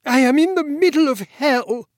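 A furious, jealous young lover from a classic storybook, put on by a male reader, pitched high and tense with sulkiness. He is curt and agitated, in a dry recording. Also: very animated, measured pace, no room sound, exaggerated voice. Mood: furious, sulky. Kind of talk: dramatised classic novel reading.

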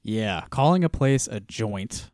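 A man co-hosting a casual podcast, walking through a comic with frequent laughter and quiet trailing-off lines. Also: on the low side, animated, slow pace, dry recording, clean studio sound. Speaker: podcast co-host. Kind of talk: casual chat reviewing a comic.

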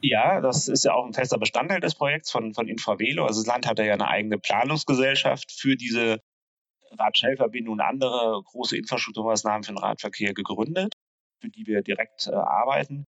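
A man explaining street and cycle route planning in a relaxed conversational way, pausing often with fillers and restarting sentences. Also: medium pitch, no echo, lo-fi sound. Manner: hesitant, many fillers and restarts. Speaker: cycling infrastructure planner.

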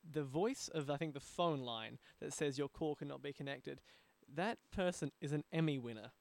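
Creaky man hosting, close and dry. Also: fairly high pitch, moderate pace, dry recording. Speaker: interview host. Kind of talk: conversational podcast interview.

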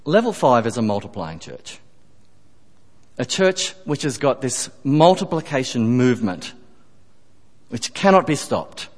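Emphatic preacher in a reverberant hall. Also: male voice, mid-range voice, sing-song, a little slow, long pauses. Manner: emphatic, rising on key phrases, dropping to quiet asides. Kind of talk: sermon. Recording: long reverb of a church hall.

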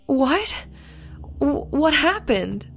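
A woman's voice: high voice, big pitch swings, extremely slow, creaky, faint music behind, exaggerated voice. An actress playing a worried, bewildered female hotel employee in an audio drama, speaking hesitantly. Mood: alarmed.

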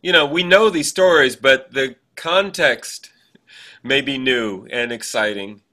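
A man's voice: mid-range voice, a little slow, sharp tone. A man co-hosting a podcast, chatting casually and reminiscing in a rambling, anecdotal way. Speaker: podcast co-host.

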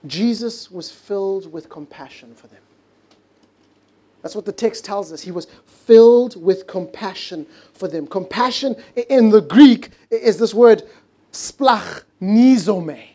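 A mellow, warm-toned man preaching a heartfelt sermon. He stretches out important words and sinks into soft, hushed moments for emphasis. English is a later-learned language for him. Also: high voice. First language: not English. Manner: earnest, stretches key words slowly, drops to a hush for emphasis. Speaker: pastor.

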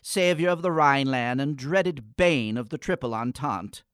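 An actor playing a morose, dryly polite young male poet in a comic gothic radio play, with a theatrical, wordy, deadpan manner. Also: mid-range voice, normal speed, clear, resonant tone, no echo, studio quality, lightly acted.

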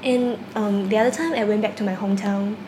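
A woman's voice: medium pitch, steady tone, fairly quick. A young woman from China, a university student, answering interview questions casually, with many restarts and small laughs. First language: Cantonese. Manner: hesitant, repeats words, laughs often. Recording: echoey room with background noise.

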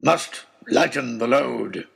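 A man voicing a blustering, reckless baronet with a clipped, shouting delivery in an adventure audio drama. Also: mid-range voice, takes it slowly, creaky, slightly rough, exaggerated voice. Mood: rash. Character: Baronet Oxshott, blustering, reckless, big-fisted male hunting aristocrat.

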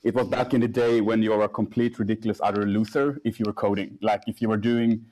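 A young man, a startup CEO, answering interview questions in a casual, halting way with many restarts and fillers. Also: lowish voice, steady tone, a little mellow. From Sweden.

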